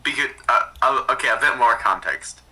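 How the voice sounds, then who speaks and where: Male podcast talker, husky but sharp, halting and conversational, recorded at a distance from the mic. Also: medium pitch. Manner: halting, repeats words and restarts sentences often. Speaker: podcast speaker recounting research projects. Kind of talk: casual conversational storytelling.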